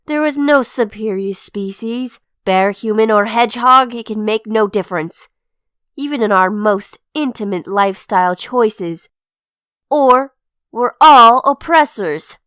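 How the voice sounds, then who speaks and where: A woman's voice: fairly high pitch, measured pace, a bit bright, smooth, dry recording, studio quality. A woman playing a militant, preachy young revolutionary in a comic dub, lecturing emphatically. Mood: preachy, fervent.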